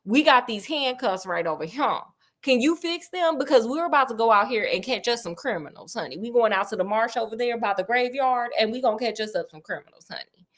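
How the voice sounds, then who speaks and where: A woman's voice: a little high, expressive, a little fast, a bit bright. A woman voicing swaggering soldiers who talk in a chummy drawl. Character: swaggering casual male soldiers.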